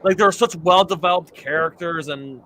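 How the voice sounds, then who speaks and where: A man hosting a casual podcast, chatting as an excited fan and rambling with frequent restarts. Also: fairly high pitch, normal speed. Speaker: podcast host.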